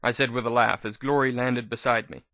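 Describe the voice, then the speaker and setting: A man's voice: medium pitch, steady tone, a little fast, creaky, no room sound, muffled recording. A man giving a dramatic first-person scripted narration as the reader of a fan fiction audiobook.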